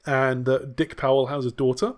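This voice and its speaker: A young man in casual chat on a podcast, recapping episodes in a loose, rambling way. He swears freely and breaks into laughter often, with a voice of ordinary middle pitch. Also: steady tone, moderate pace, a little mellow. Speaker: podcast co-host.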